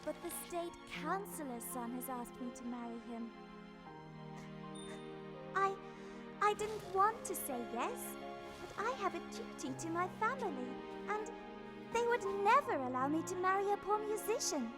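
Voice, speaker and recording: An actress playing a gentle, wistful young lady in a cartoon. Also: very high voice, animated, normal speed, over music.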